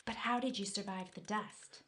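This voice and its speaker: A woman's voice: medium pitch, steady tone, normal speed, fairly bright tone, slight background noise, lightly acted. A woman playing a frightened but defiant survivor in an audio drama, tense, pleading and questioning. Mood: fearful, defiant.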